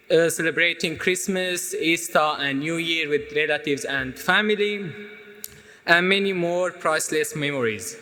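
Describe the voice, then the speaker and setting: A young man from Syria whose first language is Arabic, giving an earnest, measured prepared speech as a student speaker, with small restarts. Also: fairly high pitch, pushed and bright. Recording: hall reverb.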